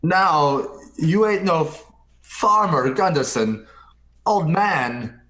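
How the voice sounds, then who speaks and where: A man's voice: a little high, expressive, takes it slowly, pushed and bright, exaggerated voice, poor audio. A man playing a scolding villager who sides with tradition in an amateur radio play. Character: gruff villager backing the old man.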